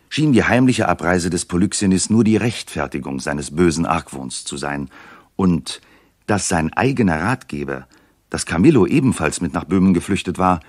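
An actor as a composed storyteller, narrating a scripted 1960s German radio drama. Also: male voice, deep voice, slightly rough, no echo, clean studio sound.

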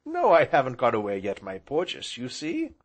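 A man voicing a placid, dreamy gentleman in a storybook, speaking with slow, grave courtesy. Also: medium pitch, wildly swooping pitch. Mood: kindly, hesitant. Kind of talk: audiobook character voice.

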